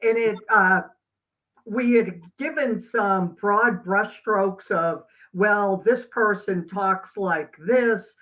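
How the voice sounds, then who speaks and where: A middle-aged man talks earnestly as an interview guest. He is very slow and deliberate, breaking his thoughts with pauses and stressing key words. The sound is a little dull and lo-fi, like a call. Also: high-pitched. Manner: earnest, halting, emphatic pauses. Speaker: guest, doctor and teacher. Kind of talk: reflective interview talk.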